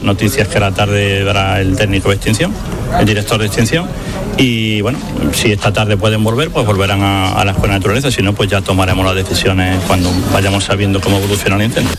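A man's voice: lowish voice, fairly even intonation, quick pace. A man, a town mayor, speaking cautiously in an interview clip, with restarts.

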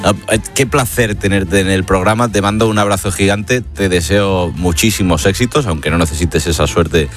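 A man from Spain hosting a radio interview, asking relaxed questions with the odd hesitation. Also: sits low, moderate pace, few pauses, faint music behind. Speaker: radio host.

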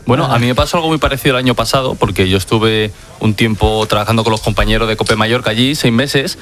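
A man's voice: medium pitch, fast talker, fairly bright tone. A young man from Madrid co-hosting a radio talk show, chatty and friendly. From Madrid, Spain. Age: young adult. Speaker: radio co-host.

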